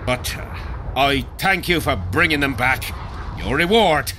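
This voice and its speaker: Blunt, battle-hardened medieval soldier. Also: male voice, mid-range voice, sing-song, a little slow, sharp tone, slight background noise. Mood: irritated. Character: rough, battle-hardened medieval army veteran, proud and blunt. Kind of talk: video game dialogue acting.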